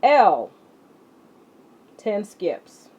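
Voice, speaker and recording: A woman teaching children as an exercise leader, speaking deliberately and emphatically, addressing her students directly. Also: mid-range voice, big pitch swings, dark tone. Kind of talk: instructional lesson to children, read-along with warm-up exercises. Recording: muffled audio with clear background noise.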